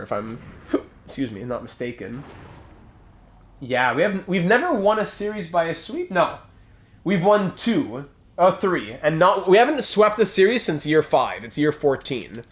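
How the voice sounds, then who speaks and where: A man's voice: a little high, slight background noise. Chatty male hockey game streamer. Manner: chatty, reads chat aloud, excited calls of game plays. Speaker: gaming streamer playing a hockey franchise mode. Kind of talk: live-stream commentary over gameplay.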